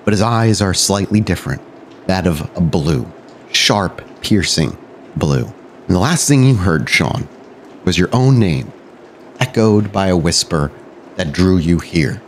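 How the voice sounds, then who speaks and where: A man's voice: sits low, animated, measured pace, a little hiss or hum. A man working as a game master, narrating a tabletop roleplay podcast with dramatic, cinematic scene-setting.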